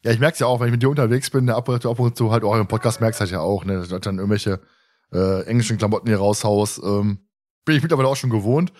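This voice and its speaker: A man's voice: lowish voice, fast, a little mellow. A man in his thirties from Germany co-hosting a podcast in casual, colloquial, teasing chat. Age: 30s. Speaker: podcast host.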